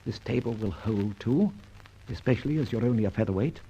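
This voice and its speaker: An actor playing a jaunty, confident gentleman detective in an old-time radio serial, with theatrical, old-fashioned phrasing. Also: fairly low pitch, a little fast, dull audio, close to an everyday voice. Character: suave, jaunty gentleman adventurer-detective, male, whimsical and confident. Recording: noisy old radio recording.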